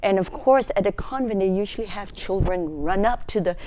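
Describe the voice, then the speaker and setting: A woman's voice: mid-range voice, normal speed, warm tone, poor audio. A woman from Malaysia, a charity founder, telling her life story as a guest in a TV studio, laughing often. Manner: chatty, laughs often while telling stories. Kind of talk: casual interview storytelling. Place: local TV studio.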